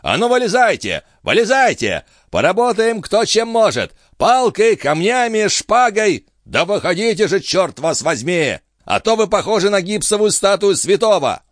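A man's voice: mid-range voice, sing-song, normal speed, a bit bright, no room sound, studio quality, voice pushed up. A man acting a brash, commanding viscount. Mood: furious, bellowing. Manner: barking, insolent, commanding. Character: brash, cool-headed, overbearing male viscount, quick to threaten.

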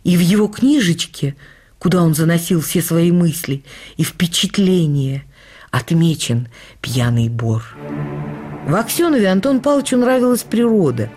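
An actress playing a reflective woman who recalls life with her late husband, reading her memoir in a measured, expressive literary way for a radio production. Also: lowish voice, breathy edge, soft background music. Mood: reflective, wistful.

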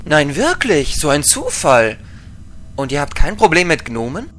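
An actor playing a blunt, chatty gnome mage in a fantasy parody audio drama. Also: male voice, a little high, wildly swooping pitch, unhurried, fairly bright tone, full character voice. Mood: pleased.

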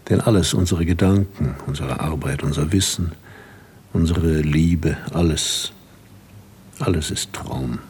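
An aging, devoted husband in a literary radio drama. His voice is deep and very breathy-rough. He speaks gravely and gently, weighing each phrase. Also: measured pace, heavily acted. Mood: wistful. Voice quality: husky.

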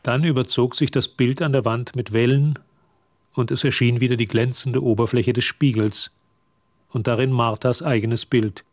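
A man's voice: mid-range voice, fairly even intonation, moderate pace, dry recording. Dry studio-recorded male narrator. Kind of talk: scripted literary narration for radio drama. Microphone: close, dry studio microphone.

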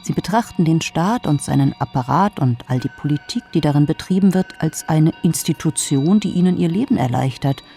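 A man delivering a reflective scripted monologue in a measured, musing way, sometimes dropping almost to a whisper. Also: a little high, expressive, creaky, warm tone, soft background music. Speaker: essayistic narrator voice.

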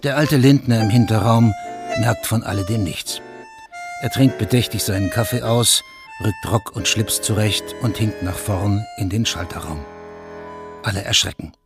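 A man reads scripted radio drama narration in a fairly low voice. His delivery is sober and matter-of-fact, and music plays clearly behind him. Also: normal speed.

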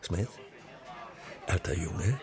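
An actor playing a jovial, foolish, over-eager Party busybody in a radio drama, with a blustering, chummy way of talking. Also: male voice, low-pitched, expressive, very slow, crowd noise, heavily acted. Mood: excited, chummy.

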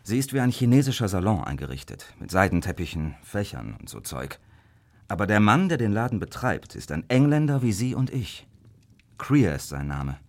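An actor playing a wary, greedy Victorian opium addict confiding secrets in a radio crime drama, with theatrical, deliberate emphasis. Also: male voice, fairly low pitch, very animated, a little mellow. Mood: conspiratorial.

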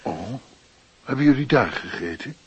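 Fussing night porter, animated. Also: male voice, medium pitch, moderate pace, breathy edge. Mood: fussing, caring. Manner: coaxing, solicitous. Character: fussing, fatherly, caring male night porter. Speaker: actor in a radio play. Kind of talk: radio drama acting.

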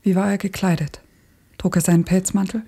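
An actress in a radio play, playing a grieving, intense woman revolutionary who speaks in halting short phrases. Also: mid-range voice, level tone, dark tone. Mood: insistent, grieving. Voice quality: husky, breathy.

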